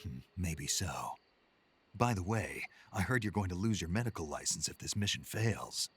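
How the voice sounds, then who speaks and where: Low gravelly game soldier, super husky, terse and dry, teasing. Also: male voice, expressive, moderate pace, fairly bright tone, heavily acted. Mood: wry. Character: gruff, wry male special forces soldier on a mission. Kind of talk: video game voice acting.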